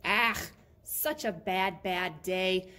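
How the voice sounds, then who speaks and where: A woman voicing a flustered village woman who knits, with a drawn-out delivery, in a children's picture book. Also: on the low side, bright, forceful tone, heavily acted. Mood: grumpy, scolding.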